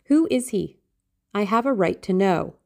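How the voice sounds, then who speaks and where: A woman's voice: medium pitch, lively intonation, measured pace, vocal fry, dark tone, pitched above the performer's own voice. Sulky, suspicious teenage lad, voiced by a woman. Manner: blunt, suspicious, questioning. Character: sulky, morose, protective teenage brother. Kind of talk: audiobook character acting.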